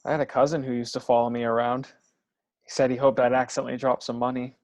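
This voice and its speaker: A man playing a shy, self-doubting man with a halting, earnest way of talking in a play table read. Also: medium pitch, level tone, darkish tone, lightly acted. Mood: awkward, earnest.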